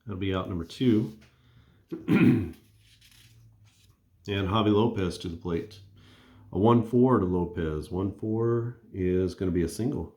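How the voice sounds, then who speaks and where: A man with a low, warm voice talks through a tabletop baseball replay at an easy, conversational pace. He thinks aloud between rolls as if calling the game himself. His voice rings a little in a roomy, echoing space. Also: slow, pauses often. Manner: relaxed and conversational, thinking aloud while rolling. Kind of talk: casual play-by-play of a dice baseball game.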